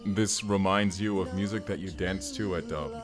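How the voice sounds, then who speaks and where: An actor playing a hulking, earnest, gentle barbarian man in an audio roleplay, speaking formally and haltingly. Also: sits low, steady tone, over music, lightly acted. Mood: shy, earnest. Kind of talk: first-person audio roleplay acting.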